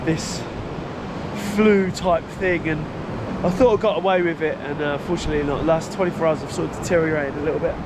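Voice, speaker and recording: Man, blocked nose, casual rambling vlog talk, outdoor beach, loud crashing waves. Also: fairly high pitch, fairly even intonation, brisk. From England. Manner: chatty, rambling, self-correcting with small laughs. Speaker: fishing vlogger. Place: open beach by the sea. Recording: loud surf noise outdoors. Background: loud waves and wind.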